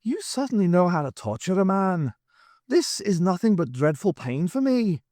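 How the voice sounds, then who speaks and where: A man voicing a sorrowful, pleading Irish space traveller with a high, strained voice and an Irish accent. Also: very animated, normal speed, warm tone, dry recording, studio quality.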